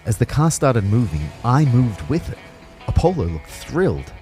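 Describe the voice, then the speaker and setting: A man's voice: mid-range voice, sing-song, moderate pace, warm tone, faint music behind, lightly acted. An Australian man playing an exasperated young ghost who narrates his own audio drama. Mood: wry narrating. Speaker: actor narrating and playing the lead. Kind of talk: first-person audio drama narration and dialogue.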